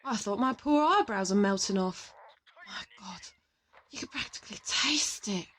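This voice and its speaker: A woman's voice: medium pitch, lively intonation, moderate pace, fairly bright tone, slight background noise, close to an everyday voice. A woman playing a confident, teasing interrogator from an assassin team in an audio roleplay, affectionate and boastful. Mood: exasperated, gossipy. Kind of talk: audio roleplay addressed to the listener.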